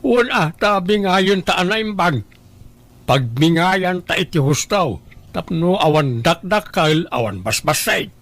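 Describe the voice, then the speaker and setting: A man acts out a grumbling father in an audio drama. His voice is a little high for a man, and he sounds matter-of-fact, with an eye out for his own gain. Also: expressive, a little slow, fairly bright tone, full character voice. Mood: scheming. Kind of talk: Ilocano audio drama dialogue.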